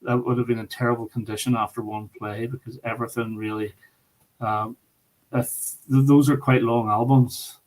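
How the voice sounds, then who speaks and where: A man's voice: mid-range voice, little pitch movement, moderate pace, poor audio. A man in a casual chat about record collecting, speaking in an opinionated, emphatic way.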